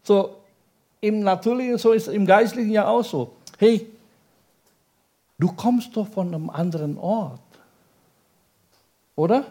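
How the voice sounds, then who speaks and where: A male pastor preaching in a language that is not his first, peppering his sermon with rhetorical questions and quick checks to the congregation. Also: high voice, dark tone. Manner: speaks German as a second language.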